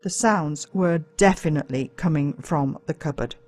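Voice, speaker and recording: Low-voiced Australian woman, dramatic storyteller. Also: moderate pace, darkish tone. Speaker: audiobook narrator. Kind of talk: scripted first-person story narration for children.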